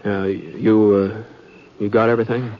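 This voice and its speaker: A man's voice: lowish voice, flat delivery, slight background noise, lightly acted. A man playing a kindly, bashful frontier fellow with an American Western accent, in a homespun, hesitant old-time radio style. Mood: gentle concern. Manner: homespun, hesitant, drawn-out delivery. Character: folksy, kindly, bashful frontier man telling his tale. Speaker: narrator and lead character. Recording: dull vintage radio recording with music.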